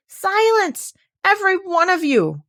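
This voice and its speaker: Storybook tiger lily acted by a woman, bossy, scolding, excitable, lifted pitch, dry close sound. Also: unhurried, no room sound, exaggerated voice. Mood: exasperated. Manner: imperious, scolding.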